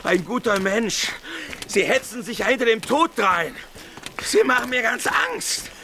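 An actor playing a pompous, anxious army captain in a German radio drama, rambling and repeating himself sentimentally. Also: male voice, very high-pitched, animated, unhurried, bright, forceful tone, slight background noise, full character voice. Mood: breathless, anxious.

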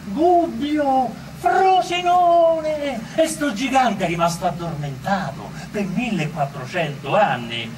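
An actor playing a wry popular storyteller in radio theatre, declaiming and repeating words for emphasis. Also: male voice, high-pitched. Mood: emphatic. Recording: distant microphone, clearly noisy recording.

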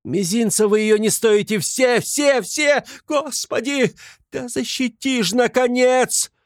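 A man voicing a hysterical, sickly, impoverished widow in an audiobook, shrill and frantic, fiercely protective and indignant. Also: expressive, unhurried, no echo, studio quality. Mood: anguished pleading. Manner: frantic repetitions, accusing, pleading. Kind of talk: dramatized literary audiobook.

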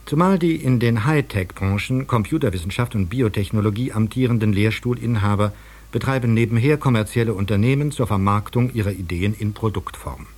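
A man reading a formal radio script in a measured, articulate manner. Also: fairly low pitch, a little mellow. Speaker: radio speaker.

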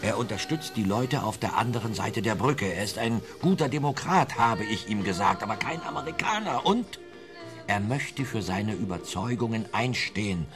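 A man's voice: on the low side, animated, normal speed, over music, close to an everyday voice. An actor playing an evasive tailor turned informant in a German radio play, telling his story in a hesitant, ingratiating, roundabout way. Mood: eager storytelling.

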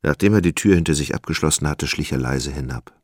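A man narrating a literary radio play in a deliberate, suspenseful, dramatic style. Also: a bass voice, breathy edge, no echo, studio quality. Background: music.

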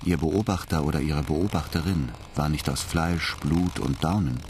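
A man's voice: rumbling low, normal speed, darkish tone, a little hiss or hum. A man narrating a radio drama in a scripted, literary storytelling style. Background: music.

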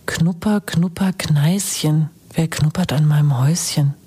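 Casting: female fairy-tale narrator, lowish, breathy, hushed storybook delivery for a radio play. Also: measured pace, heavily acted. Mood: eerie. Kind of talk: storybook reading in a radio drama.

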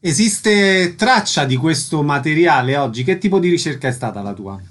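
Higher-pitched male radio interviewer. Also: expressive, moderate pace, fairly bright tone. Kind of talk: scripted radio presenting with short questions.

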